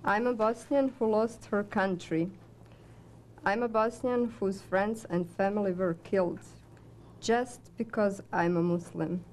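A woman, a refugee student, reading aloud in a slow, deliberate recital. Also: mid-range voice, a little hiss or hum, low-quality recording.

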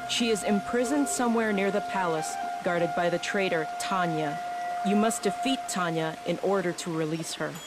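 A woman's voice: on the low side, moderate pace, natural voice. A woman playing a resolute warrior princess in a video game, formal and earnest in her delivery. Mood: resolute, urgent. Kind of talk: video game cutscene acting. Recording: game music behind.

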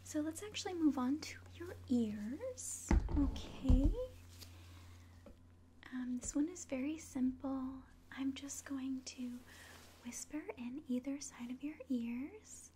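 A woman in her twenties whispering gently and breathily in an ASMR medical exam roleplay, giving polite, hesitant instructions. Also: high voice, a little slow, a little hiss or hum. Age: 20s. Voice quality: breathy whisper and very soft speech. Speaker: ASMR roleplayer playing an alien doctor. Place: small indoor room at home.

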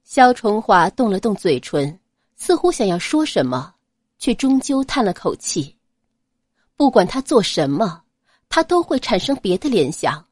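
A woman narrating a serialized audio novel in a smooth, expressive storytelling manner. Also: medium pitch, measured pace, no echo, studio quality.

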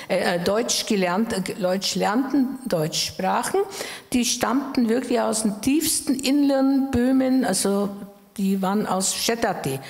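Eine Frau um die achtzig aus Böhmen, die als Gast auf einer Bühne nachdenklich und mit Zögern aus ihrer Erinnerung erzählt, mit Handmikrofon. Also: female voice, medium pitch, vocal fry, a little mellow. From Bohemia, Czech Republic. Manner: thoughtful, with hesitations and self-corrections. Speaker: interview guest. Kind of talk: personal memories in conversation. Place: stage in a wood-panelled hall. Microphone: handheld mic. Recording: echoey hall.

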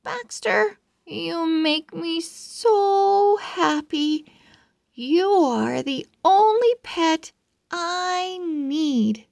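A woman voicing an earnest, fretful young owl schoolgirl from a storybook, speaking slowly and dramatically. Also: very high-pitched, expressive, creaky, a little mellow, dry recording, clean studio sound, exaggerated voice. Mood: affectionate.

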